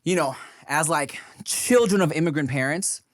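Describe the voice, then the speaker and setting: A man's voice: a little high, normal speed, no room sound, studio quality. A man who grew up in the United States, hosting a casual podcast chat in a laid-back, reflective way and swearing casually. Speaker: podcast host.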